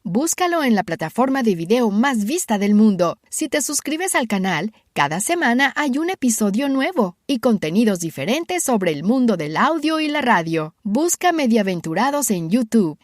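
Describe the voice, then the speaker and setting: A woman's voice: on the high side, very animated, normal speed, few pauses, no room sound, studio quality. A woman reading a scripted promotional announcement, addressing the listener directly.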